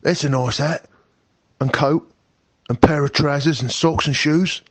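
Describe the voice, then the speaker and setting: Gruff, soft-hearted storybook burglar, raised pitch and drawled, put on by a male reader. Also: steady tone, no room sound, poor audio. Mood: pleased. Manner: rough dialect speech, slow drawling lines. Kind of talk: storybook read-aloud.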